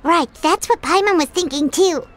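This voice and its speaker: A woman voicing a tiny, excitable, childlike fairy companion with a squeaky voice in a video game. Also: moderate pace, slight background noise. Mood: curious. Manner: refers to self in third person, exclamatory.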